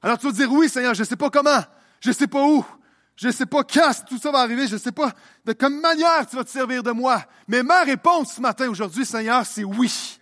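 A man's voice: very high-pitched, a little fast, a bit bright. A young man preaching a sermon as pastor, repeating phrases as his delivery builds in intensity.

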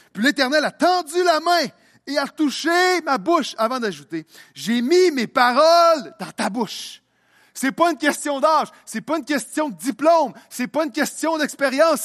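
High-voiced young preacher. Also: male voice, fairly bright tone. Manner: preaching with rising intensity, repeating phrases. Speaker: pastor. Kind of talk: sermon.

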